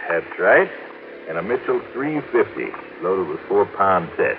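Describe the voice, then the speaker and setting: A man's voice: deep voice, big pitch swings, a little slow. Cagey, folksy fishing resort man. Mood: teasing, evasive. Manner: teasing, evasive, conspiratorial. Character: cagey, folksy male fishing resort manager, old friend. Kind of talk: old-time radio drama acting. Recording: muffled, noisy vintage radio recording.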